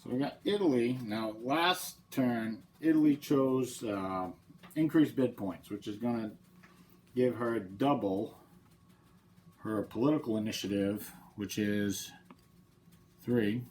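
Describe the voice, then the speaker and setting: A man's voice: mid-range voice, slow pace, darkish tone, a little hiss or hum. A man with a head cold hosting his channel, talking casually through a board game playthrough and explaining the rules step by step. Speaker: channel host.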